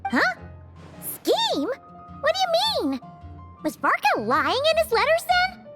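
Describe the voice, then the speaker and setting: An actress voicing a tiny, curious, excitable childlike sprite in a video game, squeaky and naive in manner. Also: female voice, slow, exaggerated voice. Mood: puzzled, startled. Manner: naive, exclamatory. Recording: music clearly behind. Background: background music.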